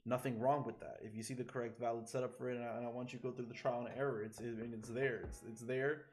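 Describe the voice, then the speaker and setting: A man from Chile, a forex trader chatting casually and excitably with his live viewers, swearing freely. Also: medium pitch, quick pace. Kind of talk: casual livestream commentary. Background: faint music.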